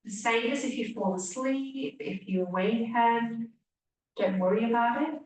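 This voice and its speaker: Woman doing guided yoga nidra, really slow n gentle, super echoey, mic kinda far off. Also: fairly high pitch. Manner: gentle, hushed phrase endings, long pauses. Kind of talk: guided relaxation meditation. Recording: echoey room, distant mic, faint hiss.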